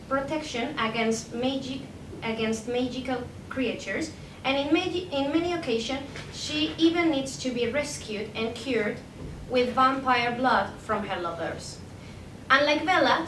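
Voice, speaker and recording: A young woman reads an academic paper aloud at a conference, carefully, with occasional stumbles and self-corrections. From Spain. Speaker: PhD candidate presenting a paper. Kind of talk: academic conference talk, read from a prepared script. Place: indoor room at an academic conference. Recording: echoey room, distant mic, faint rumbling noise.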